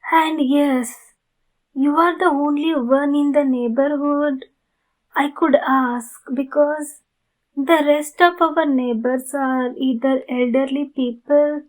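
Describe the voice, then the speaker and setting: A woman voicing a kind, polite vampire neighbor in an ASMR roleplay, gentle and apologetic in manner. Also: sits high, low-quality recording. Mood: gentle, hesitant.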